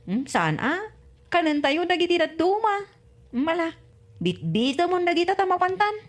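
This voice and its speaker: Actress as nagging rural mother for radio comedy, high-pitched, long-suffering. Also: sing-song, normal speed. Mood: weary, chiding. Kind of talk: Ilocano radio comedy drama acting.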